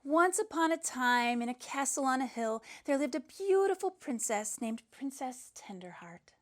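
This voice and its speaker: Sentimental schoolgirl spinning a princess fairy tale, woman reader, earnest and dramatic, highish voice, storybook read-aloud. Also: lively intonation, normal speed. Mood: sweet, dramatic.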